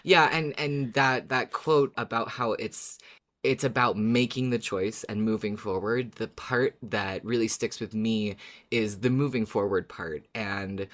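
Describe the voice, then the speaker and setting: A woman's voice: very deep voice, measured pace. A woman co-hosting a podcast, chatting casually as a fan in an encouraging, supportive way. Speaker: podcast co-host.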